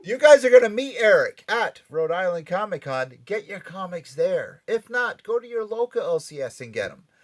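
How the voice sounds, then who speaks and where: Man, lively varied intonation, casual host banter, halting, repetitive phrasing. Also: sits high. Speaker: podcast and radio show host. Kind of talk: casual pop culture talk show banter.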